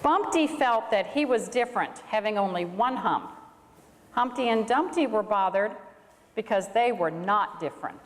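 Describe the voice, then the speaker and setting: A woman's voice: medium pitch, animated, normal speed. A woman reading a children's storybook aloud to a congregation in a church. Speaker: reader at a church. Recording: echoey hall with long reverb.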